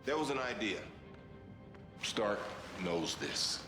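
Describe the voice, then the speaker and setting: A commanding agency chief in a game cutscene. He is solemn and slow, with a lowish voice, and music plays behind him. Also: animated. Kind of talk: dramatic film dialogue in a game cutscene. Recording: echoey room, music behind.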